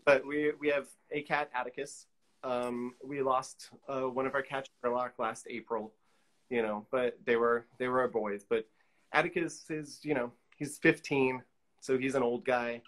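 A man's voice: medium pitch, steady tone, unhurried, a bit bright. A middle-aged man chatting casually as a guest on a video call. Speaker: guest artist. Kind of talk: casual chat. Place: indoor room at home, on a video call.

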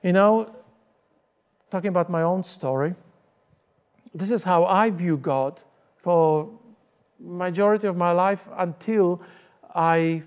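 A man's voice: sits high, takes it slowly, long pauses, smooth. A middle-aged man from Poland with a Polish accent, preaching a sermon in earnest storytelling fashion on a church stage with a lapel mic. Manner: earnest storytelling, gesturing with both hands. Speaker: pastor and teacher. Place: church stage with curtain backdrop.

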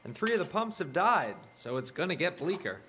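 A sarcastic, impatient young pump-room worker in a radio drama. His voice sits a bit high and moves a lot as he snaps back. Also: very animated, fairly quick, pushed and bright, slight background noise, heavily acted. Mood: irritated.

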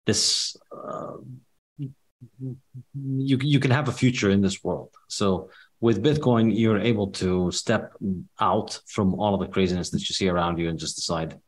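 A man in his forties from Palestine, an economist answering interview questions in a measured, matter-of-fact way, with frequent ums. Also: lowish voice. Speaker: guest, economist and author. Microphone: studio mic on video call.

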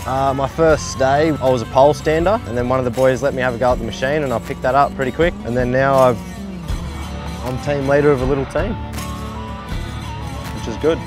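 A man's voice: medium pitch, steady tone, brisk, long pauses, music in the background. A young man with a regional Australian accent chatting in an interview as a team leader on site. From Parkes, regional Australia. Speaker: machine operator, team leader. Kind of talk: casual documentary interview.

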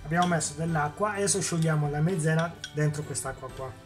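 A man's voice: fairly high pitch, fast talker, music in the background. A man hosting a cooking demonstration in a home kitchen, explaining each step and answering his own questions. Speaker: cooking show host.

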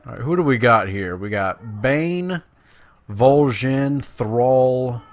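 A man's voice: mid-range voice, animated, slow pace. A man giving casual, folksy gameplay commentary, talking to his viewers. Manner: folksy, conversational, addresses viewers. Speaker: let's play host. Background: video game sounds.

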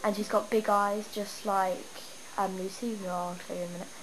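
A woman's voice: mid-range voice, fairly quick. Chatty girl with a stuffy cold. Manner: chatty, rambling, excitable. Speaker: gamer showing her town. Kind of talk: casual game walkthrough. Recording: noisy home camera recording. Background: steady background noise.

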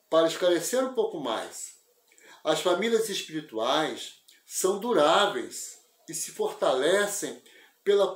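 An older man giving a spiritist lecture over a video call, speaking in a measured, reflective and explanatory way through an earphone mic in a small room at home. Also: fairly high pitch, echoey room. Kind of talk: religious lecture. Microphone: wired earphone mic on a video call.

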